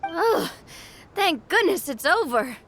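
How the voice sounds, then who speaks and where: Cheerful young lady, high voice, lively melodic delivery, confident, bubbly, video game character. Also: slow pace, slight background noise, heavily acted. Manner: bubbly, expressive.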